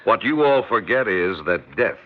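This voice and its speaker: An actor playing a mysterious, solemn truck driver who speaks of death in a 1950s radio drama. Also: male voice, normal speed, natural voice. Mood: ominous calm. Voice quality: deep, calm, solemn and cryptic. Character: ominous mysterious male trucker, death-like stranger. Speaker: stranger at a diner. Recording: dull old radio recording with faint hiss.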